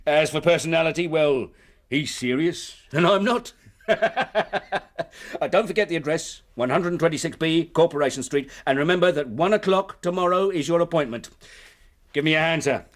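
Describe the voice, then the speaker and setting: A man acting in a period radio drama, playing a hearty, persuasive company recruiter and smooth swindler, with a British accent and grandiloquent manner. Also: fairly high pitch, moderate pace, natural voice. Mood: jovial, hearty. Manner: effusive, theatrical, grandiloquent.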